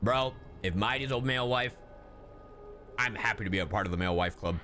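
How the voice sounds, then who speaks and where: A man's voice: fairly low pitch, brisk, pushed and bright, slight background noise. A man livestreaming a game, bantering casually in a playful, irreverent, sweary way. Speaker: game streamer.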